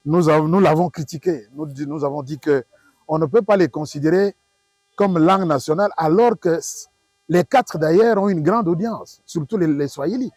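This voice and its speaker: A Congolese man with a fairly high, husky voice, recorded dry. He explains like a professor in an interview, didactic and emphatic. He leans on repetition and questions to make each point. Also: normal speed, darkish tone, no room sound. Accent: Congolese French. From Democratic Republic of the Congo. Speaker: professor, linguistics expert.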